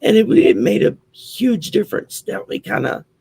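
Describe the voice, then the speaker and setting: A man with a Southern US accent and a growly, gravelly voice, chatting openly as a guest on a call. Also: high voice, big pitch swings, moderate pace, warm tone, slight background noise. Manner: chatty, open, storytelling. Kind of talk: casual chat.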